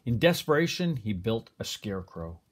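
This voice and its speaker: An older man reads a children's picture book aloud as a grandfather would, with expressive, deliberate phrasing. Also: lowish voice. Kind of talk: storybook read-aloud.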